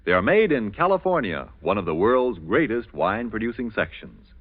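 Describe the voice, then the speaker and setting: A man's voice: fairly low pitch, big pitch swings, moderate pace. A man with an American accent reading a 1940s radio commercial in a polished, persuasive announcer style. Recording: tinny, band-limited old radio.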